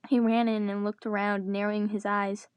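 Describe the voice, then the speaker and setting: A woman's voice: mid-range voice, level tone, moderate pace, clear, resonant tone, dry recording. A woman reading a story aloud dramatically, with joking asides in her own voice. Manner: breaks character to groan and joke. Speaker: reader of fan fiction.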